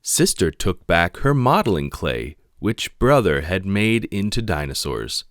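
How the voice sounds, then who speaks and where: A man reading a children's picture book aloud in an expressive narrating style. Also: low voice, measured pace, no room sound, studio quality. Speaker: storybook reader.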